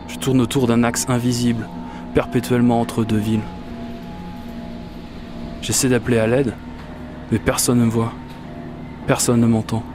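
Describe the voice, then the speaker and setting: An actor playing an anxious, rambling commuter lost in a surreal metro, talking conversationally to himself in a radio fiction monologue. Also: male voice, medium pitch, natural voice. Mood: despairing. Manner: conversational, self-questioning, increasingly desperate. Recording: clear vehicle ambience and noise behind. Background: metro train ambience.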